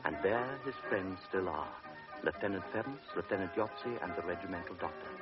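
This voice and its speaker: A man narrating a radio drama in a measured, grave manner. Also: low-pitched, animated. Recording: dull old radio recording with music.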